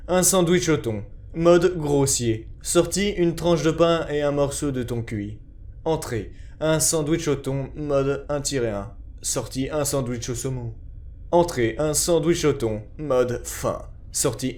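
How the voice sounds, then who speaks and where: A man's voice: fairly high pitch, a little mellow. A man giving a scripted narration in a formal, clinical report style.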